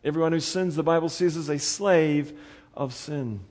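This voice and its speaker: Earnest New Zealand preacher. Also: male voice, a little high, moderate pace. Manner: earnest, pleading, swings from loud urgency to quiet intensity. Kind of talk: sermon.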